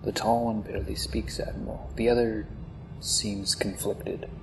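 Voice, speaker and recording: A man voicing a deferential male aide with a low, gravelly delivery in a fan-made parody drama. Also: little pitch movement, a little slow, noisy background.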